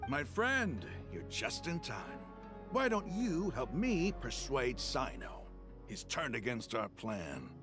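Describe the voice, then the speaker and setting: An actor playing a frank, persuasive desert mercenary leader in a dramatic video game scene, earnest and argumentative. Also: male voice, medium pitch, huge pitch swings, slow. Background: music.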